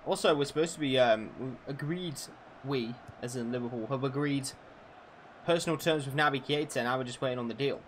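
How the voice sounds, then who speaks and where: A man in his twenties talks casually over a football game stream, his voice sitting in an ordinary middle pitch. He chats freely with viewers and swears often as he goes. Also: fairly quick, slight background noise. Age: 20s. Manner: chatty, swears freely, answers viewers' questions. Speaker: gaming streamer.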